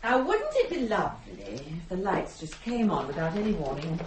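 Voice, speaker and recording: A brisk, sardonic take-charge woman in a radio play. Her questions are insistent and her pitch moves widely, over slight background noise. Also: wildly swooping pitch. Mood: brisk irony. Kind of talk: dramatic radio play acting.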